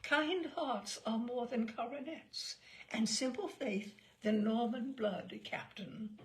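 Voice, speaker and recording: A woman voicing a prim, self-important spinster passenger with refined, slow and pompous speech in a story reading. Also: fairly high pitch. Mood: prim, self-assured.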